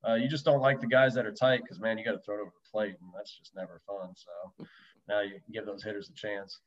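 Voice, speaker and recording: A man's voice: fairly low pitch, steady tone, fast talker, dull audio. A man who used to play pro baseball, chatting casually and telling stories in a colloquial, slangy way as a podcast guest. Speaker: podcast guest, former professional baseball pitcher. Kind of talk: casual chat, storytelling.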